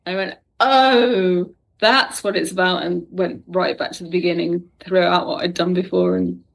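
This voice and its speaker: A woman's voice: on the low side, animated, moderate pace, vocal fry. A woman talking casually and anecdotally as a writer being interviewed. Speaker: audio drama writer, podcast guest.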